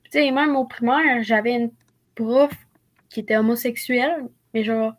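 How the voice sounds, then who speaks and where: A woman's voice: on the high side, moderate pace. A young woman with a Quebec French accent, telling things in a slangy, casual way on a group video call. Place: indoors at home, on a video call.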